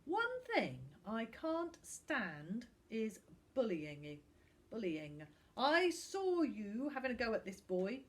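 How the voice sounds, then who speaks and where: A firm young footballer speaks in a measured, deliberate way, as a woman puts him on. He is stern with bullies, yet kind. It is a storybook read aloud at an unhurried pace. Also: sits high, very animated, voice pushed up.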